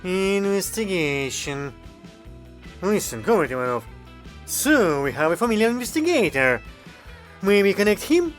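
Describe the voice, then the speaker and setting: A woman's voice: medium pitch, big pitch swings, a little slow, long pauses, faint music behind. A woman voicing an earnest, dutiful young woman soldier in a story.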